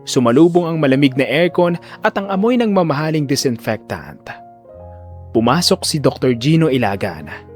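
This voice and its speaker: A man narrating a scripted audio drama in a deliberate, theatrical storytelling manner. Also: on the high side, sing-song. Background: faint music.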